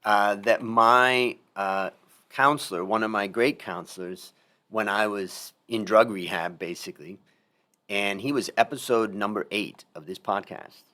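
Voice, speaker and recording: A man's voice: lowish voice, unhurried, pushed and bright. A middle-aged man hosting a podcast, talking in a reflective, emphatic way and repeating his key points. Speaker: podcast host. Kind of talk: casual interview talk.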